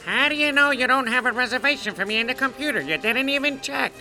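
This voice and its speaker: A man voicing a flustered American tourist from New York with a New York accent, whiny and quick to apologize. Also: extremely high pitch, pushed and bright, soft background music, heavily acted. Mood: exasperated. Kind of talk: comedy audio sketch.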